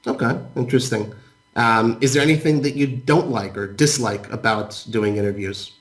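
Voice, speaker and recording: A man's voice: medium pitch, normal speed. A man interviewing a guest, asking questions in a hesitant way with many fillers.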